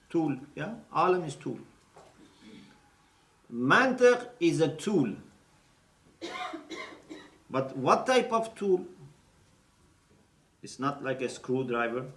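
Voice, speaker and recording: A man giving a teaching lecture, explaining step by step and checking in with his listeners. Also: on the high side. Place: lecture room. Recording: roomy echo, mic at a distance.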